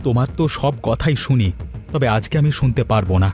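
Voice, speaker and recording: A man acting a fast-talking, firm young husband. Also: medium pitch, a little mellow, soft background music, lightly acted. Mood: indignant. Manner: firm, protesting. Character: supportive, indignant young husband. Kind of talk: Bengali cartoon voice acting.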